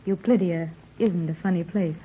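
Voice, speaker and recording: A woman playing a gentle, concerned mother in an old-time radio adventure serial. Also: lowish voice, normal speed, narrow, tinny audio, close to an everyday voice. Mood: tender. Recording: thin, noisy old radio recording.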